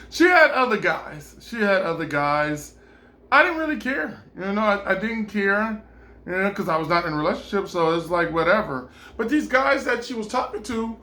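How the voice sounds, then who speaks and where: A man with a fairly high, bright voice tells a personal story in a casual vlog. He rambles and circles back, filling every pause with um and you know. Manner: rambling, many ums and you-knows.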